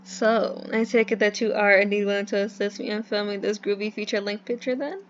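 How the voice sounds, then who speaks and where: A woman's voice: mid-range voice, fairly even intonation, fairly quick, lo-fi sound, natural voice. A woman voicing an eager but sheepish young male filmmaker with groovy slang and a hesitant, rambling manner in a comic audio drama.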